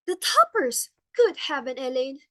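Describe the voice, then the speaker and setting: A haughty society matron played by a woman in a stage comedy. Her voice sits high and has a bright, forceful edge. She frets and commands with melodramatic exclamations. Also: very animated, a little slow, exaggerated voice. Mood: flustered, haughty. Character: haughty, fretful society matron hosting a dinner party. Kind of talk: theatrical stage comedy acting.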